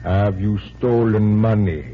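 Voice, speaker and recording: Domineering fake-seer villain, vintage radio drama; low, deliberate, sinister, over a dull aged recording. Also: male voice, background noise. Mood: sinister, controlled. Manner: commanding, incantatory, deliberate. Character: sinister, domineering male phony mystic professor. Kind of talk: 1940s radio acting.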